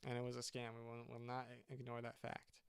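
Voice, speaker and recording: A man's voice: medium pitch, monotone, a little fast, creaky, clean tone, dry recording. A young man, a band's singer, chatting casually on a livestream and often stopping to restart his sentences. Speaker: singer and band frontman.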